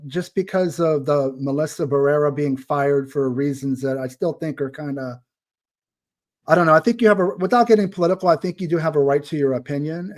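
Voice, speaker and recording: A man's voice: on the high side, a little mellow. A man hosting a casual interview, chatty and opinionated, with rambling asides. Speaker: podcast host.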